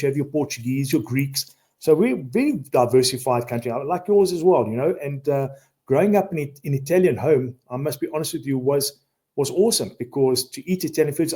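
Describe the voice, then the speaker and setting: A man's voice: mid-range voice, dark tone. A South African man in his fifties, a safari outfitter talking in a casual podcast conversation, earnest and prone to repeating words as he explains. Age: 50s.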